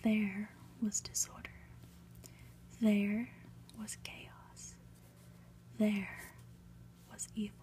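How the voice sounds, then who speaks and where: A woman softly whispering a story aloud in an ASMR style, deliberate and lingering on phrases with pauses. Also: mid-range voice, flat delivery. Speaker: ASMR reader, channel host.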